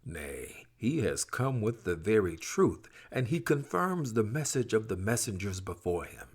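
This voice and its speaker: A man's voice: on the low side, big pitch swings, unhurried, breathy edge. A man reading scripture from a script in a solemn, emphatic, dramatic way. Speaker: scripture reader. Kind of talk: expressive scripted scripture recitation.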